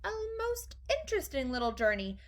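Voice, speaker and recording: Eccentric, excitable gentleman for a children's tale, acted by a woman: animated, bright, reassuring, grandly emphatic. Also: on the high side, normal speed. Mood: breezily soothing. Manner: emphatic, theatrical repetition.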